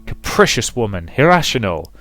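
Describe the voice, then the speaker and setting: A man's voice: lowish voice, big pitch swings, moderate pace, fairly bright tone, faint music behind. A man voicing an exasperated, lovesick rake with wounded pride, speaking dramatically in a visual novel. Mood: annoyed. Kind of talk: visual novel character reading.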